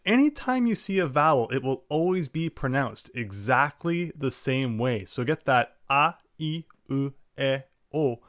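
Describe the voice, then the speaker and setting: A man teaching a language lesson, explaining clearly and sounding out syllables slowly. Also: mid-range voice, very animated, a bit bright, dry recording, clean studio sound. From English-speaking. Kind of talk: scripted lesson narration.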